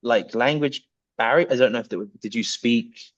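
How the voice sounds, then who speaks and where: A man's voice: medium pitch, normal speed, highs cut off. A man hosting a podcast, loose and chatty, swearing and restarting his sentences. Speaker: podcast host. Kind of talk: casual interview.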